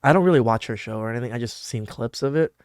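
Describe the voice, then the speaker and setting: A man's voice: medium pitch, quick pace, clean tone, dry recording, clean studio sound. A man hosting a podcast, talking bluntly and casually as he reacts to clips, cussing freely and restarting his sentences. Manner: blunt and profane, with many restarts and fillers.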